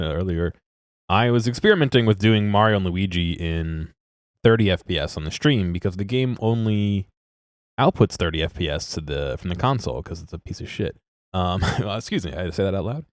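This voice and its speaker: A man with a very low voice and a congested, stuffy sound talks casually to his stream chat. He rambles, restarts his sentences and laughs at himself. The sound is close and dry, with no room around it. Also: dry recording.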